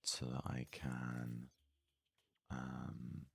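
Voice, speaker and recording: A man giving hushed, unhurried ASMR gaming commentary, murmuring as if thinking aloud in a husky, breathy voice. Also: extremely low pitch, monotone. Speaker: let's play host.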